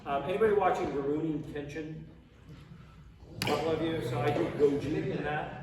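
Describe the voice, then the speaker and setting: A man's voice: on the high side, fairly quick. A middle-aged American man talking casually and emphatically at a convention Q&A, in an echoing, noisy hall. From Colorado, United States. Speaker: voice actor, panel guest. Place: large indoor convention room with audience. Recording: very echoey noisy hall, distant microphone.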